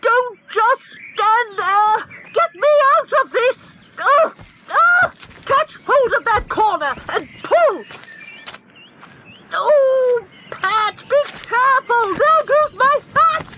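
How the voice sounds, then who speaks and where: A man's voice: extremely high pitch, lively intonation, slow pace, sharp tone, a little hiss or hum, pitched above the performer's own voice, full character voice. A man voicing a fussy, flustered elderly woman in a storybook reading, with squealing, breathless exclamations. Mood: frantic.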